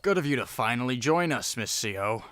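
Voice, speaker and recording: A man's voice: medium pitch, lively intonation, a little fast, a bit bright, no room sound, natural voice. A man playing a weary, serious heist crew member in an audio drama, speaking in a dry, understated way.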